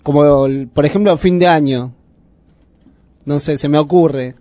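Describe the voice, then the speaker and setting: A man's voice: on the high side, measured pace, dark tone, no room sound. An Argentine man hosting a radio show, chatting casually at a studio microphone. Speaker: radio host.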